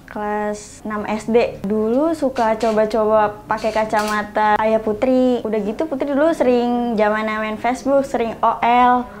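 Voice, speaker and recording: A woman's voice: a little high, fairly even intonation, normal speed, a little mellow. A woman, chatting casually about herself. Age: 20s. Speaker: employee interviewee. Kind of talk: casual interview answers.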